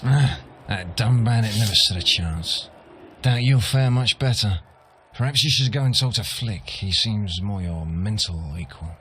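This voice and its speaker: A man's voice: lowish voice, expressive, a little slow, a bit bright, a little hiss or hum. An actor voicing an aloof, irritable warrior in a video game, disdainful and superior in his manner. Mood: scornful. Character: aloof, irritable, condescending male meditating warrior. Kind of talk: video game character acting.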